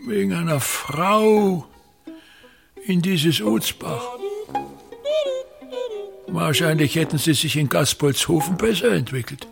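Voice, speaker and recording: A man's voice: on the high side, takes it slowly, gravelly, pauses often, over music. An actor playing a pompous, contemptuous old male theater director in a radio drama, with an Austrian accent and a declamatory, scornful way of talking.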